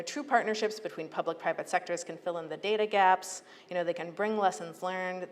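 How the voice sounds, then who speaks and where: An American woman giving an earnest, persuasive prepared speech as a public agency leader, with emphatic delivery. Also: on the low side. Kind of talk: prepared advocacy speech to a conference. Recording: echoey hall, clear background noise.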